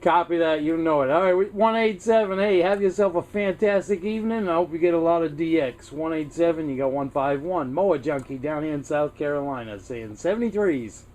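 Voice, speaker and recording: An American man with a fairly high voice talks casually over CB radio. He is courteous and speaks in hobbyist jargon, with clear noise behind him. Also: a little fast, hardly any pauses, darkish tone.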